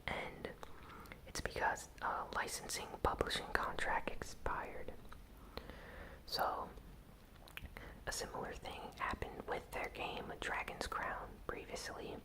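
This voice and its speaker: A host whispering a news recap in ASMR style, softly and with gentle pauses. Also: poor audio. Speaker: host of a gaming news channel.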